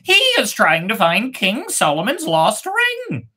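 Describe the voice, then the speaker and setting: A woman's voice: medium pitch, wildly swooping pitch, slow pace, bright, forceful tone, breathy edge, pitched above the performer's own voice, heavily acted. A woman voicing a chirpy, gossipy little bird in a fairy tale told aloud. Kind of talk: animated fairy tale storytelling.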